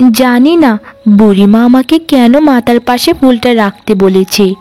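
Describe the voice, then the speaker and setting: A woman's voice: fairly high pitch, darkish tone, close to an everyday voice. A woman voicing a meek, earnest orphaned village girl in a cartoon. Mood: thoughtful. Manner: earnest, plaintive, grateful.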